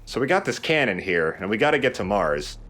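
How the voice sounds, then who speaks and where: A man's voice: on the low side, sing-song, fairly quick, a bit bright. A man hosting a let's play, giving casual, joking commentary on a video game. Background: game music.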